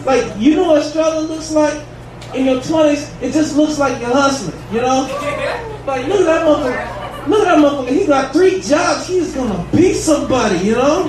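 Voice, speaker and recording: A man in his thirties works through a stand-up set with a casual, profane, drawling delivery. His voice sits high. He sounds distant in an echoey bar room with steady background noise. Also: moderate pace. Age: 30s. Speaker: stand-up comedian. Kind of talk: stand-up set at an open mic. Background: audience ambience.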